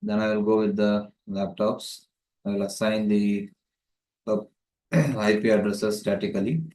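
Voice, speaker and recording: A man's voice: lowish voice, fairly even intonation, a little slow, a little mellow. A man giving a methodical, step-by-step tutorial narration as a networking tutor, recorded with roomy echo on a distant microphone. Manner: methodical, explanatory.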